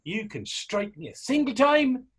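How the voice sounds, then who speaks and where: Man acting a husky, swooping Irish taunter. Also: high voice, very animated, measured pace, heavily acted. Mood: scornful, defiant. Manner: mocking, needling, wordy old-fashioned dialect. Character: taunting, defiant Irish man needling a policeman. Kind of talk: readers theater stage acting.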